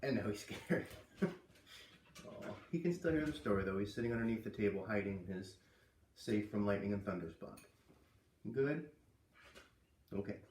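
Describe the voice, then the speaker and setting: A man reading a children's novel aloud as an expressive storyteller. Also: on the low side, moderate pace, long pauses, a little hiss or hum. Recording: roomy echo, distant from mic.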